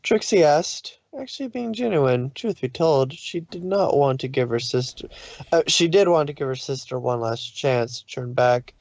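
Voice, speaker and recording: A man's voice: medium pitch, lively intonation, moderate pace, creaky, darkish tone, no room sound, highs cut off. A man reading scripted story narration in a dramatic style.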